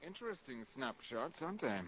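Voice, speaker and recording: An actor playing a suave, cynical valet turned spy in a radio drama, with a polished, mocking manner. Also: male voice, medium pitch, big pitch swings, brisk, a bit bright. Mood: mocking, assured. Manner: polished, mocking, cool and superior. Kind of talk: 1950s radio drama acting. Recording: muffled old radio broadcast recording.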